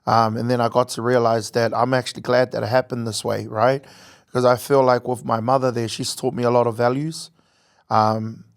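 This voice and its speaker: Earnest young New man guest. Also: medium pitch. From American Samoa, raised in New Zealand. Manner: earnest, reflective, with jokey asides. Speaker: guest, content creator. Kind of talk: casual interview chat.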